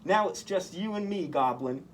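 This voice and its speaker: A cocky storybook superhero, played by a male reader, tosses out taunting wisecracks. The voice sits fairly high and bounces around in pitch, over some background noise. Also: lively intonation, moderate pace.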